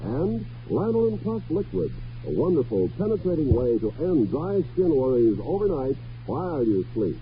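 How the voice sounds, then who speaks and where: A man's voice: mid-range voice, sing-song, normal speed, hardly any pauses. A man with an American accent announcing a 1950s radio show and its sponsors in polished, emphatic scripted style. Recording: dull vintage radio transcription, faint music.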